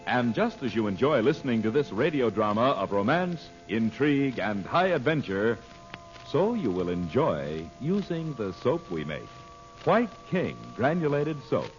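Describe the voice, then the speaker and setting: A man's voice: mid-range voice, big pitch swings, measured pace. Theatrical old-time radio announcer man, swooping delivery. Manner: formal, dramatic, emphatic. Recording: dull vintage radio broadcast recording. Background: music.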